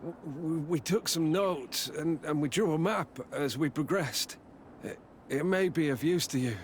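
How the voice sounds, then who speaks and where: A man voicing a shaken soldier, a lone survivor in a video game, halting and stammering as he talks. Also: fairly high pitch, slight background noise, lightly acted. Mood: weak, halting. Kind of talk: video game cutscene acting.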